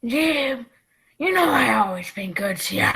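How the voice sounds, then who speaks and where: A woman playing a gruff, drunken old sea captain with a raspy voice in a radio play. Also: a little high, expressive, measured pace, pushed and bright, full character voice. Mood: weak, pleading. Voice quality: raspy, gravelly.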